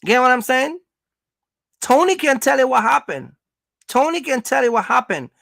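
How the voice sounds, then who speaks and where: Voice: high voice, sing-song, moderate pace, creaky, a bit bright, dry recording, clean studio sound. A speaker gives casual motivational advice as a host, talking emphatically, repeating points and checking in with listeners. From Jamaica. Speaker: host, trucking business coach.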